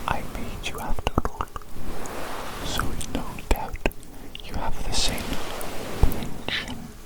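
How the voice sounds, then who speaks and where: Slow whispering woman as a doting evil doctor. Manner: slow, repetitive, coaxing delivery. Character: evil doctor doting on and scolding their monster creation. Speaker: ASMR roleplay performer. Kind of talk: whispered ASMR roleplay.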